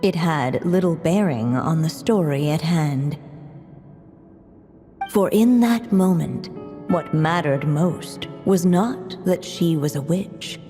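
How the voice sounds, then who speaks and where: Literary fairy-tale narrator for a game, slow and deliberate, soft dark tone, lowish pitch, faint music. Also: female voice, lively intonation. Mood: solemn, knowing. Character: measured, wry female storybook narrator. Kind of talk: scripted storybook narration in a video game. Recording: long reverb around the voice.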